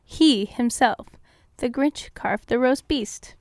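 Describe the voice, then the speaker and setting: Gravelly high-voiced woman, joking and irreverent. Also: takes it slowly, no echo. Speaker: podcast co-host reading a storybook. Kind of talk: casual comic read-aloud with banter.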